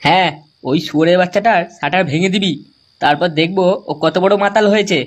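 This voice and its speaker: A performer voicing a crude, excitable cartoon village pal, coarse and boastful. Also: medium pitch, quick pace, close to an everyday voice. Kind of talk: comic cartoon dialogue. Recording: clearly noisy cartoon soundtrack.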